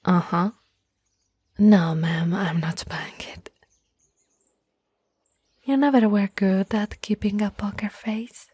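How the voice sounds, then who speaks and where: Casting: actress as caring, playful young woman for ASMR roleplay; soft husky breathiness, unhurried delivery, dry close sound. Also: mid-range voice, steady tone, no room sound. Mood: coaxing, concerned. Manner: slow, playful, gently coaxing. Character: tall, teasing, caring young woman, former childhood friend.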